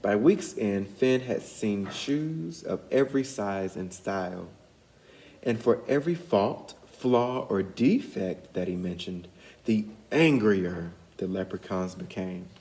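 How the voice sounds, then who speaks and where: A man reading a storybook aloud as an educator, pausing to put guiding questions to young listeners. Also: fairly low pitch, animated, darkish tone.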